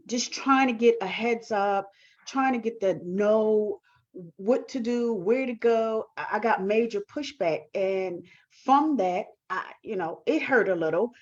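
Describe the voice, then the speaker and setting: A woman giving reflective interview answers as a guest, speaking earnestly and haltingly with repeated words. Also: mid-range voice, dull audio. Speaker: talk show guest, performing artist.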